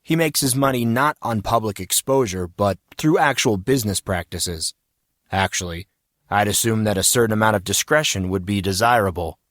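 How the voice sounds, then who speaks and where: A man's voice: on the low side, normal speed, a bit bright, no room sound, clean studio sound, close to an everyday voice. A man voicing an aloof, scornful teenage know-it-all in an audiobook story, matter-of-fact and condescending.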